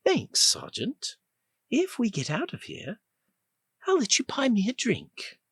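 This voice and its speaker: A man voicing a confident, sharp-tongued young woman in an audiobook, with a clipped British accent. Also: very high voice, huge pitch swings, slow, husky, no echo, clean studio sound, pitched above the performer's own voice, heavily acted. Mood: brisk. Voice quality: clipped, bossy.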